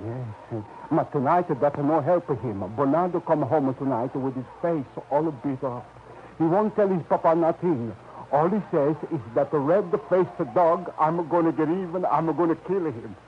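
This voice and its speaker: A man's voice: a little high, animated, normal speed, slight background noise, lightly acted. An actor playing a worried old Italian immigrant father with an Italian accent and broken English, pleading anxiously, in 1940s radio acting. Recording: muffled old radio recording.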